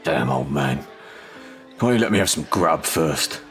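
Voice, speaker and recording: A menacing English criminal with a working-class accent and an extremely low voice. He is gruff and curt, bullying whoever he talks to. It is audio drama acting with a surly edge. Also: lively intonation, measured pace, fairly bright tone, faint music behind, full character voice. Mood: gruff, irritable.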